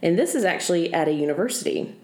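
A young woman with a low voice casually tells folklore on a podcast, joking as she goes. She sounds echoey, recorded in a room at home. She keeps the tone loose and conversational. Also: lively intonation, fast talker, darkish tone. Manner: laughing, joking storyteller. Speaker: podcast co-host.

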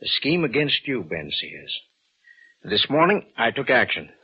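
An actor playing a grave, measured middle-aged town supervisor in a 1940s radio drama. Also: male voice, medium pitch, very animated, highs cut off. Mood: resolute, grim. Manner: measured, solemn. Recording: band-limited, hissy old radio recording.

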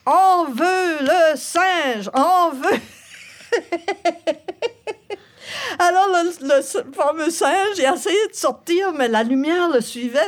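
An older woman speaks with a strong Acadian French accent, her voice a bit higher than most. She tells stories with gusto and laughs often as an interview guest. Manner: lively storyteller, laughs often. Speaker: singer-songwriter guest.

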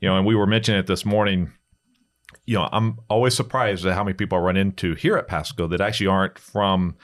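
Low-voiced man, quick talker, chatty host, joking around. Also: steady tone. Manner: chatty, jokey, affirming. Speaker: interview host, county official. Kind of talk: casual interview chat.

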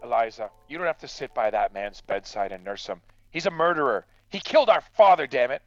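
A man's voice: mid-range voice, expressive, a little fast, fairly bright tone, dry recording, dull audio, faint music behind, natural voice. A man acting in a radio drama as an angry, grieving son, indignant and accusing. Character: bitter, angry grieving son of a murdered farmer.

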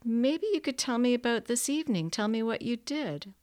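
A woman's voice: on the high side, expressive, normal speed, a little mellow, voice pushed up, natural voice. A woman voicing a precocious, sober little boy who talks in an earnest, matter-of-fact way.

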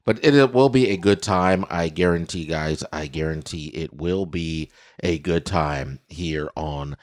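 A man with a raspy voice hosting a casual podcast, telling stories in an animated way and repeating himself for effect. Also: rumbling low, unhurried. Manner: animated storytelling with repetitions. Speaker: podcast host.

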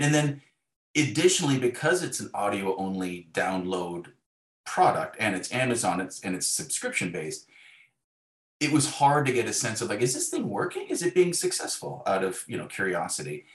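Male author guest, lowish pitch, wry and self-effacing in casual interview talk, roomy echo. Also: a bit bright. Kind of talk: casual reflective interview conversation. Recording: echoey room.

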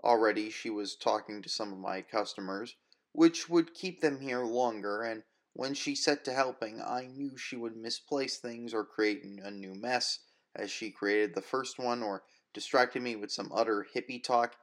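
A man narrating first-person fiction as an audiobook reader, with a measured, wistful, literary delivery. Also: medium pitch.

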